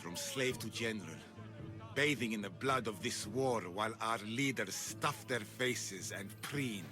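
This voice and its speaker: An actor playing a ruthless, ambitious Spartan general in a video game. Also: male voice, on the low side, unhurried, music in the background, lightly acted. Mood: bitter. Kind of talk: scripted video game cutscene acting.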